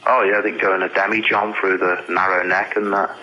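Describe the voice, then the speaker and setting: Slightly muffled, lo-fi audio carries a man with a fairly low voice and a hard, bright edge. He answers questions casually as an expert, plain-spoken and matter-of-fact. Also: level tone, fairly quick. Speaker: animal expert being interviewed. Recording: dull lo-fi interview audio.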